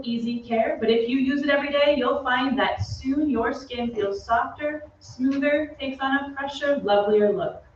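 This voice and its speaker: Polished vintage radio saleswoman acted by a woman, high-pitched, dull echoing stage recording. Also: lightly acted. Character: wartime radio soap-advert announcer, smooth saleswoman. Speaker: radio announcer. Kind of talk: 1940s radio advertising read. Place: theatre stage. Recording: echoey stage from far off, dull, noisy.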